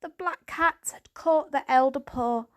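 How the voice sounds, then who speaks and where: Female narrator for children's fiction, slightly high voice, dramatic scripted storytelling. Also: a little slow. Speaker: audiobook reader.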